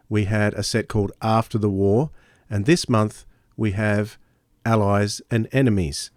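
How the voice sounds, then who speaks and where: A man's voice: mid-range voice, darkish tone, no room sound, studio quality. A man hosting a podcast, speaking in an informal introduction. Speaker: podcast host.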